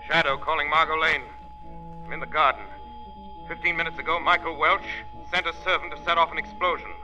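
A man voicing a mysterious, unseen male avenger who speaks slowly, taunting and sinister, in 1930s radio drama. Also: on the high side, steady tone, pushed and bright, faint music behind. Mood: urgent. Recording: muffled old radio broadcast.

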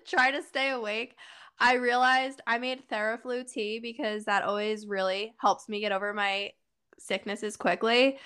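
A young woman with a slightly high voice, stuffed up and congested from a head cold. She chats casually as a podcast co-host, quick to laugh between her sentences. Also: bright, forceful tone, clear, resonant tone. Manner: laughs easily, chatty. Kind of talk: casual chat.

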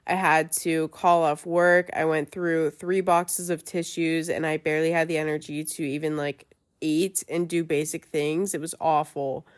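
A woman's voice: sits low, flat delivery, normal speed. Chatty young woman, nasal from a cold. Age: young adult. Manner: chatty, lots of fillers and likes. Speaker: podcast host. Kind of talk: casual chat.

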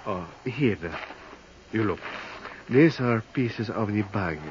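An actor playing an earnest, helpful investigator with a Mexican Spanish accent and halting English, in 1950s radio drama. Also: male voice, fairly low pitch, expressive. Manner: halting, searching for English words. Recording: muffled, faintly noisy 1950s radio recording.

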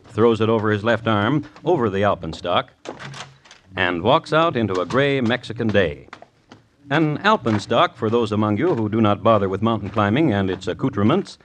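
A man playing a droll crime connoisseur, narrating deliberately as host of an old radio show. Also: medium pitch, a little hiss or hum, close to an everyday voice. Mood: wry, ominous. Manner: dry, deliberate, wry. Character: droll, morbid male crime connoisseur and storyteller. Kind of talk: 1950s radio scripted narration.

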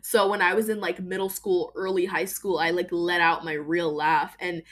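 Chatty young woman, lowish voice. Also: little pitch movement, normal speed, fairly bright tone. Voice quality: deeper voice than most women. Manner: chatty, emphatic, lots of fillers. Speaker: podcast host. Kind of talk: casual personal monologue.

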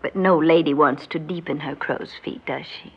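Vain, husky, middle-aged mother. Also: low voice, steady tone, normal speed, heavily acted. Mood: evasive, pleasant. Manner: proud, fretful, theatrical. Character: vain middle-aged mother and grandmother dreading old age. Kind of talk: old-time radio drama acting. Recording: muffled old radio recording.

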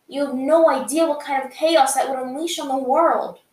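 An earnest young boy monk pleads urgently in a raised, higher voice, as a woman reading a story puts him on. He sounds young and insistent. Echo hangs around the voice. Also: steady tone, moderate pace, far from the mic, lightly acted. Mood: pleading.

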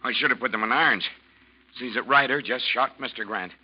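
A scheming, cornered ship captain from a forties radio play, acted by a man. His husky voice blusters desperately through a dull, lo-fi vintage recording. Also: medium pitch, a little fast, close to an everyday voice. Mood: feigned alarm. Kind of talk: 1940s radio drama acting.

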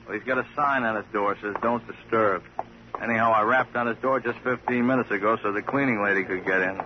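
An actor playing a nosy, slow-witted hotel clerk with colloquial, hesitant speech in a vintage radio drama. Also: male voice, on the low side, fairly even intonation, natural voice. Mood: wary. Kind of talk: 1950s radio crime drama acting. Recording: noisy, muffled vintage radio recording.

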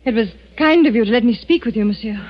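An actress playing a frightened wife of a killer in 1940s radio drama, pleading breathlessly and dramatically. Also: female voice, fairly high pitch, expressive, fast talker. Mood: desperate, fearful. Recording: muffled 1940s radio broadcast, music behind.

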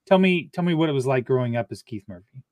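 A male podcast host who interviews his guest in a relaxed, easygoing way and laughs easily. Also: medium pitch, fast, no room sound, studio quality. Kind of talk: casual interview.

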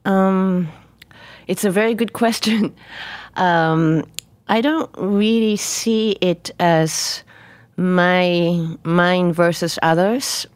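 A middle-aged woman whose first language is Japanese, answering interview questions with careful, deliberate word choice. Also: fairly low pitch, clean tone, dry recording. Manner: careful, deliberate, picks words slowly. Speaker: musician guest. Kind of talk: interview conversation.

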